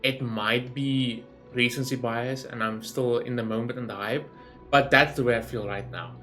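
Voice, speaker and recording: A man's voice: mid-range voice, fairly even intonation, moderate pace, a bit bright, soft background music. A man giving casual, rambling game reaction commentary in an informal way, as a gaming YouTuber.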